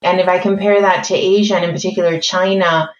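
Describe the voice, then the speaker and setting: A woman born in France and raised mainly in Spain, with a mixed accent shaped by American and British English, speaking as a guest in a podcast interview in a measured, articulate way. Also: fairly low pitch, flat delivery, roomy sound, lo-fi sound. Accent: mixed French, Spanish, American and British. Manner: measured, articulate, thinks aloud with fillers. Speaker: corporate learning executive, guest.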